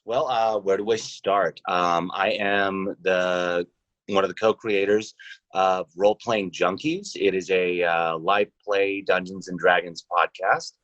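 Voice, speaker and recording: A man's voice: low-pitched, fairly even intonation, takes it slowly, a bit bright, no echo, lo-fi sound. A man in his thirties chatting casually as a guest on a podcast. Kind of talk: casual chat.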